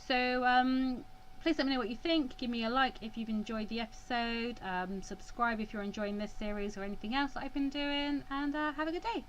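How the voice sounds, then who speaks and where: A woman's voice: a little high, a little fast, dull audio, a little hiss or hum. A woman hosting a let's play, chatting casually to viewers. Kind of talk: casual gaming commentary.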